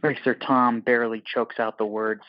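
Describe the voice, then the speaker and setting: A man's voice: medium pitch, flat delivery, moderate pace, lo-fi sound. A man narrating an audio play from a script in terse, dramatic, clipped phrases.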